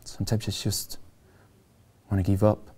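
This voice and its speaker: Needs a man playing a lower-voiced, anxious middle-aged realtor who talks himself down. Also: completely flat delivery, normal speed, warm tone, slight background noise, close to an everyday voice. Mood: confessional. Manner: earnest, hesitant, self-mocking. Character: insecure middle-aged male realtor, self-deprecating. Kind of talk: table read of a screenplay.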